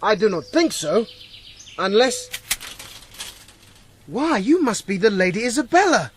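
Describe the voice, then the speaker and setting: An actor with a British accent playing an ardent, chivalrous young hero in a gothic radio drama, florid and earnest in speech. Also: male voice, sits high, big pitch swings, unhurried, a little hiss or hum. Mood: gallant.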